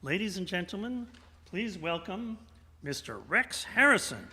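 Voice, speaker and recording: A man with a slightly high voice hosts a live radio theater show. He is folksy and tongue-in-cheek, leaning theatrically on his words, and his voice rings out in a reverberant church sanctuary. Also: very animated, measured pace, bright, forceful tone, husky. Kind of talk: live stage hosting, storytelling. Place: large church sanctuary, indoors.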